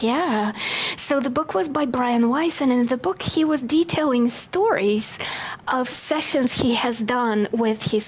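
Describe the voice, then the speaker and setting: A woman from Bulgaria giving a chatty, self-deprecating talk to a live audience, with many fillers. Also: on the high side. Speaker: speaker.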